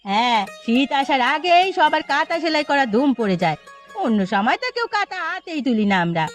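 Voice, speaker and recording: A woman's voice: high-pitched, sing-song, a little fast, faint music behind. A woman voicing a sociable, chatty younger village neighbour in a cartoon story.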